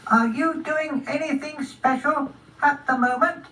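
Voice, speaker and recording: A woman's voice: high-pitched, a bit bright, voice pushed up. A woman voicing a polite, proud little snail in a children's story, drawing out the words courteously. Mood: polite, deliberate. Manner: drawn-out, courteous. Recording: roomy, distant, faintly noisy recording.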